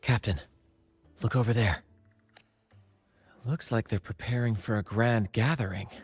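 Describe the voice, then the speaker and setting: An actor playing a suave troupe performer and adventurer in a video game, with a theatrical, flowery and playful way of talking. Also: male voice, fairly low pitch, steady tone, a little slow, breathy edge, soft background music. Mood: wry, playful. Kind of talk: video game character acting.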